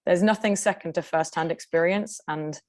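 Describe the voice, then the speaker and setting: A woman with an English accent, speaking quickly with many fillers and restarts as she presents and answers questions on a video call. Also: lowish voice, no echo, clean studio sound. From Denmark. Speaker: conference presenter. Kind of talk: webinar presentation and Q&A.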